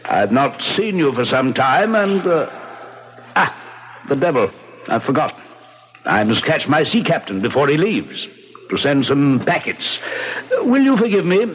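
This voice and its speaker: On a tinny old radio recording, a nobleman with a fairly high voice. He is lordly and formally courteous, a jealous husband with menace underneath, in a period mystery play. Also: big pitch swings, a little slow, pauses often, a bit bright, slight background noise. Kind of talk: old-time radio drama acting, formal period speech. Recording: muffled, narrow-band old radio recording.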